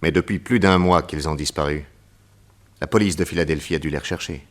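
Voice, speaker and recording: A man's voice: rumbling low, brisk. An actor voicing a composed, firm male detective who questions tersely in a radio drama. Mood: grim.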